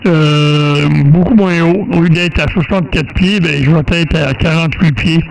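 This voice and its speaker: A man with a Quebec French accent chatting casually as a radio operator, folksy and halting, often repeating words. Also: a little high, fairly even intonation, vocal fry, poor audio. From Quebec, Canada. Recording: faint radio noise.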